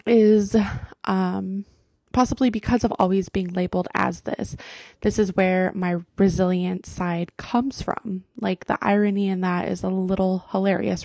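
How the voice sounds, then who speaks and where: A young woman hosting her own podcast, chatting casually and filling her talk with likes and ums. Her voice is mellow with a gravelly edge, recorded dry and close. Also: medium pitch, no echo. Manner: chatty, with frequent fillers and laughs. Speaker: podcast host.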